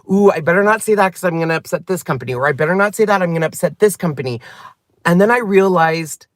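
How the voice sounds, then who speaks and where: A man hosting a crafting channel, talking candidly and earnestly to his viewers from his car, his voice climbing higher when he gets emphatic. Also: a bit bright, no room sound, studio quality. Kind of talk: candid livestream-style chat to viewers. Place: sitting in a car.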